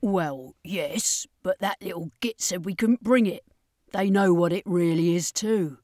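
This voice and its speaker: A woman's voice: lowish voice, unhurried, a little mellow, no echo, studio quality. A woman as Carlene, glamorous vampire lady. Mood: wary.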